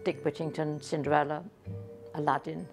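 A woman's voice: low voice, little pitch movement, moderate pace, darkish tone, faint music behind. A woman explaining history as an interviewee.

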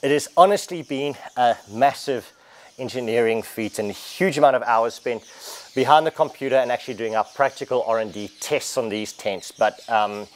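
A man's voice: medium pitch, moderate pace, a little hiss or hum. A man, explaining a product in a casual walkthrough inside a large warehouse showroom. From Australia. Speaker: product presenter.

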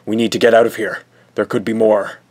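An actor playing a grim, commanding masked vigilante in a superhero audio drama, terse and deadpan. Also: male voice, fairly low pitch, brisk, dry recording, lightly acted. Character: grim, commanding masked male vigilante hero, middle-aged.

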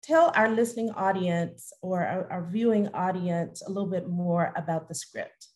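Low-voiced middle-aged woman hosting. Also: normal speed, a little mellow. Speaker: interview host, theatre director. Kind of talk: conversational interviewing. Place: large living room at home on a video call. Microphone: video call.

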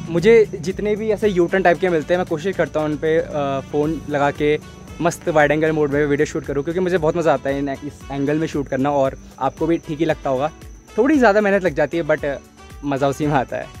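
A man's voice: fairly high pitch, fairly quick, a little mellow, soft background music. Young man vlogging on a mountain ride. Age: young adult. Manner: chatty, explaining tips to viewers with English words mixed in. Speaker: cycling vlogger. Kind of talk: casual vlog narration. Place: outdoors on mountain roads. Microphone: phone or action camera at arm's length.

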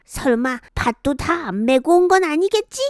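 A mean, suspicious stepmother from a children's puppet tale, played by a woman storyteller. She scolds in a high, bossy, accusing voice. The sound is close and dry. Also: lively intonation, normal speed, dry recording. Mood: accusing, scolding.

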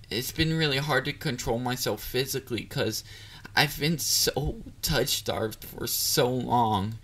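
A man playing a shy, clingy, excitable young catboy boyfriend in an intimate romantic audio roleplay, hesitant and easily flustered. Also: medium pitch. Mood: confessional. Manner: hesitant, vulnerable, flustered, with stammers.

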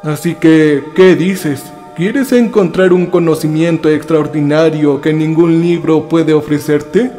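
Man doing cold old professor, ninety-two, slow persuasive talk, mellow tone, faint music behind. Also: a little high, fairly even intonation, natural voice.

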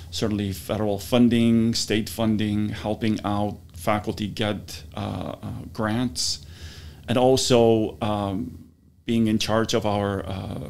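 A middle-aged man from Iceland answers interview questions into a studio mic in a small office. He speaks in a relaxed, thoughtful way and hesitates now and then. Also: on the low side. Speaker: university research administrator, guest. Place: small office meeting room. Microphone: studio mic with pop filter.

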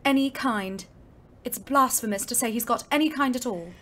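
An actress playing a prim, naive, easily shocked young ambulance driver, earnest and prissy, in an audio play. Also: female voice, on the high side, normal speed, a bit bright. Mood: scandalized.

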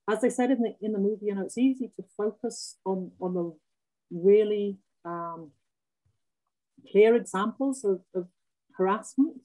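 Mellow-voiced middle-aged woman reflecting. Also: medium pitch, poor audio. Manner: reflective, halting with repeated restarts. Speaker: panelist geologist. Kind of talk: panel discussion on a video call. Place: home room with bookshelves. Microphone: video call webcam.

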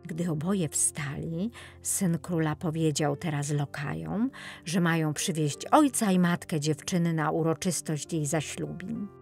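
A woman narrating a scripted children's fairy tale as an expressive storyteller. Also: low-pitched, a little slow, creaky, soft background music. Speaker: main narrator.

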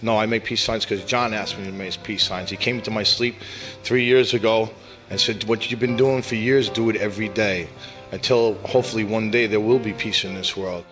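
A man's voice: lowish voice, fairly even intonation, fairly quick, a bit bright, faint music behind. A man speaking in a street interview, earnest and self-assured. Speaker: park regular.

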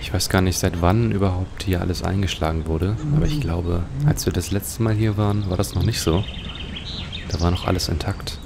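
A man's voice: sits low, fairly quick, creaky, background noise. A man giving musing, speculative commentary as he plays an adventure game. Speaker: let's play host.

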